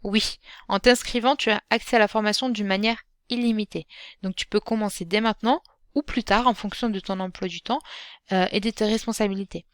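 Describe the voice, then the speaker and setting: A woman hosting her own podcast as a Quran teacher. She speaks gently and encouragingly, addressing the listener directly, with frequent fillers and restarts. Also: medium pitch, steady tone, brisk, dry recording, studio quality. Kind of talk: solo podcast talk.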